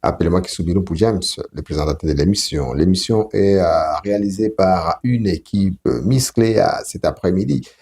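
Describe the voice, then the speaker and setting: A man with a Congolese French accent hosting a talk show interview in a halting, deliberate way. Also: low-pitched, vocal fry, warm tone. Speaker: TV show host.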